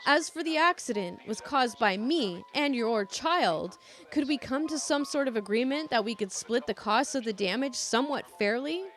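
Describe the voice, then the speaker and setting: Woman reading as an earnest, high-voiced young student. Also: lively intonation, normal speed, a bit bright, soft background music. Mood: apologetic. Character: young male student cyclist, apologetic but exasperated.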